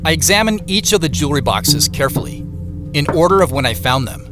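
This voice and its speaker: A man acting as a brooding, suspicious investigator, narrating an audio drama in the first person in a measured, introspective way. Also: a little high, expressive, music in the background, natural voice.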